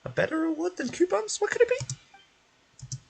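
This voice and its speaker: A man's voice: extremely high pitch, huge pitch swings, a little slow, vocal fry, voice pushed up, exaggerated voice. A man voicing a small, cheeky, childish game companion who gets indignant easily and refers to itself by name. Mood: excited, curious. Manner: childish, talks of self in third person.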